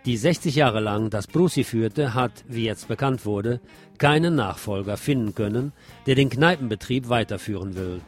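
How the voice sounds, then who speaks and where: A male radio host reads scripted commentary and news in a mid-pitched voice. Faint guitar music plays behind him as he presents. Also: normal speed.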